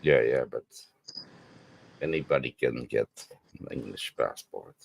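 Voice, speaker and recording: A man's voice: a bass voice, a little slow, gravelly, husky. A man from Belgium streaming live, blunt and argumentative, often repeating himself. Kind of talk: casual live-stream chat.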